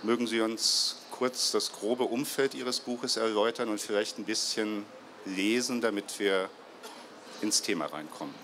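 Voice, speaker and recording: Ein Mann in den Fünfzigern moderiert ein Buchgespräch auf einer Messebühne vor Publikum, mit Handmikrofon, stockend und mit vielen Füllwörtern. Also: male voice, on the low side, fairly bright tone, a little hiss or hum. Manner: halting, many fillers and restarts. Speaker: moderator, publisher's marketing head. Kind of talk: moderated book talk. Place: trade fair stage before a seated audience. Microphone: handheld mic.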